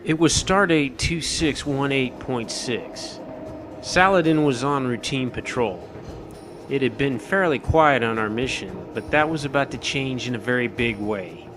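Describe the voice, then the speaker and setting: A man's voice: medium pitch, a little slow, natural voice. A man playing a seasoned, modest starship captain recounting his missions in a sci-fi audio drama. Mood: grave storytelling. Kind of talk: audio drama acting, storytelling. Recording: music bed under the voice.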